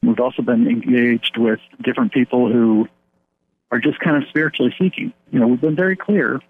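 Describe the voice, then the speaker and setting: A man's voice: mid-range voice, a little fast, slight background noise. A man, a pastor from the United States, talking in an earnest, rambling way with many fillers and restarts during a phone interview. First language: English. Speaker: pastor of an English-speaking church abroad. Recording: tinny phone line.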